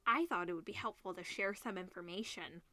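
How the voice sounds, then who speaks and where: A woman's voice: on the low side, fairly quick, sharp tone, dry recording. A woman voicing an earnest, polite student survivor in a visual novel, a little hesitant. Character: earnest student pleading for cooperation and food.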